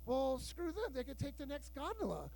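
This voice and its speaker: High-voiced man doing bawdy stand-up. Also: wildly swooping pitch, moderate pace, gravelly, low-quality recording. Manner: loud, bawdy storytelling with acted-out dialogue. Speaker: stand-up comedian. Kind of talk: stand-up comedy set. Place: live show room with audience.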